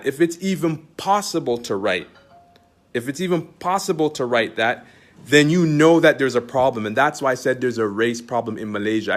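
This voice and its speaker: A man with a Canadian accent, an Islamic teacher talking straight to his followers in an emphatic, repetitive way, full of rhetorical questions. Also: mid-range voice. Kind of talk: direct-address monologue to followers.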